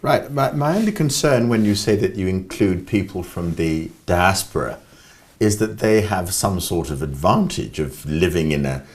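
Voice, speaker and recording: A man's voice: low voice, moderate pace. A man hosting a TV interview, asking questions in a formal, emphatic way. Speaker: talk show host.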